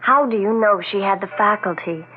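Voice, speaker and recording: An actress playing an inquisitive, haunted woman who quietly probes with questions in a 1970s radio drama. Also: mid-range voice, fairly quick, close to an everyday voice. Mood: probing, troubled. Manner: probing, questioning. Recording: muffled 1970s radio recording, background noise.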